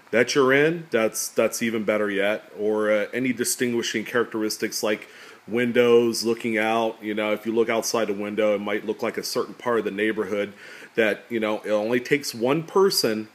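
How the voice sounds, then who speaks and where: A man with a deep, slightly nasal voice talking casually to camera about his coin collection, rambling with many fillers. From California. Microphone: camera pointed at table, speaker off screen.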